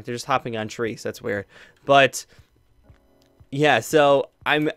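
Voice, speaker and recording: A man's voice: medium pitch, a bit bright, smooth, no echo. A young man casually rambling through a channel update for his viewers, upbeat and full of fillers and restarts. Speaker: YouTuber. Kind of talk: casual channel update while flying over a game world.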